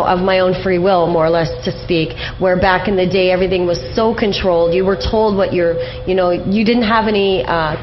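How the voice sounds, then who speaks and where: A woman's voice: on the low side, slight background noise. A woman hosting a casual radio interview, talking conversationally as she questions her guest. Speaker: radio show host, interviewer. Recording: dull lo-fi radio audio, faint music.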